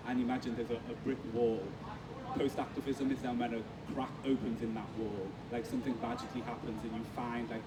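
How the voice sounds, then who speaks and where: A man from Liverpool talks earnestly in a relaxed interview, often pausing on ums and restarting his sentences. He sounds set back from the mic, with traffic audible around the outdoor stage. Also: medium pitch. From Liverpool, England. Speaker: guest creative. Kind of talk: casual conversational interview. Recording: distant mic with street noise.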